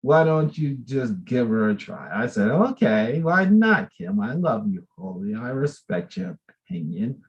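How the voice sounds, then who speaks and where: A man hosts an interview show with an effusive, chatty manner, his pitch swinging widely. He talks at an unhurried, drawn-out pace. The sound is a little dull and lo-fi. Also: big pitch swings. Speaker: host. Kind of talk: casual interview hosting.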